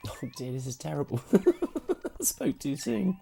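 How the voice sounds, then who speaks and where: A woman with a husky voice after a recent illness, chatting casually and self-deprecatingly while live-streaming retro games. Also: deep voice, huge pitch swings, unhurried, mellow, faint music behind. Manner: self-deprecating, apologetic, giggly. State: recovering from sickness.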